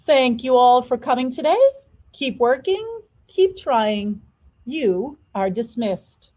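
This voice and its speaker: A storybook ballet master, acted out by a woman, exacting and stern. The commands come clipped and emphatic, pitched high for scolding. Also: expressive, takes it slowly, darkish tone. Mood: formal.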